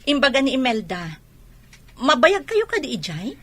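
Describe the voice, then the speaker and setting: A woman's voice: high voice, big pitch swings, moderate pace, fairly bright tone, full character voice. A woman playing a fretful soldier's wife in a comic radio drama, nagging and prodding.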